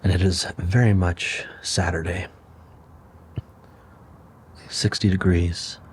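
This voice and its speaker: A middle-aged man hosting his own channel, musing in a relaxed, unhurried way with pauses. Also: sits low, slight background noise. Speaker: channel host. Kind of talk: relaxed solo vlog talk.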